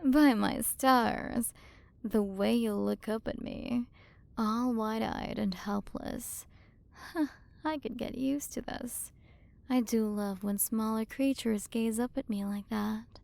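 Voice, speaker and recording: Woman playing a slow, creaky, haughty alien queen. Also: on the high side, steady tone, darkish tone, no echo. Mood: possessive, fascinated. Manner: condescending, flirtatious, drawn-out delivery with breathy drops into whispers. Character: haughty, teasing, possessive alien galaxy queen. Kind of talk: ASMR roleplay, scripted character acting.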